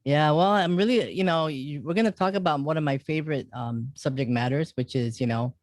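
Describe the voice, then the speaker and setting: A man hosting an interview show, welcoming a guest and asking questions in a halting, loose way. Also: on the high side, no room sound, studio quality. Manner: halting, full of fillers and restarts.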